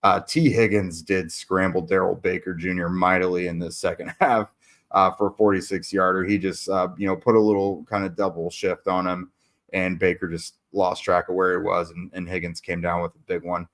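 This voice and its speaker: A man's voice: low voice, little pitch movement, normal speed, fairly bright tone. A man hosting a sports podcast, recapping a game in a casual way with lots of fillers and restarts while losing his voice. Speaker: podcast host.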